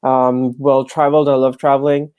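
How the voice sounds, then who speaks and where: A man's voice: mid-range voice, little pitch movement, normal speed, a little mellow, smooth, no echo. A young man from Dubai and Pakistan, a startup founder talking on a video call with lots of ums and restarts. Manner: hesitant, many fillers and restarts. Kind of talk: casual video interview. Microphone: wired earphones on video call.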